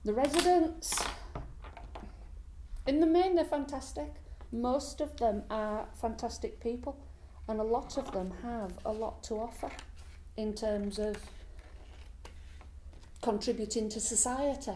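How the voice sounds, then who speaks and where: A woman's voice: fairly high pitch, unhurried, pauses often, warm tone, a little hiss or hum, low-quality recording. A middle-aged woman who works as a hostel support worker, speaking earnestly in an interview with a few restarts. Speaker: independent living skills worker at a hostel. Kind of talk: interview talk.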